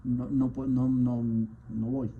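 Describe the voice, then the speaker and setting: A man, a physician, delivering an impassioned spoken message, indignant and firing off rhetorical questions, at times surging louder. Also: mid-range voice, level tone, takes it slowly. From Cuba. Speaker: physician, rheumatologist. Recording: dull lo-fi sound with faint background noise.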